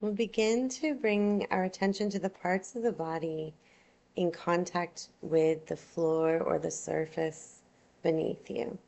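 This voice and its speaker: A woman teaching yoga nidra, guiding a relaxation meditation in a soothing, unhurried, gentle way. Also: fairly low pitch, steady tone, vocal fry.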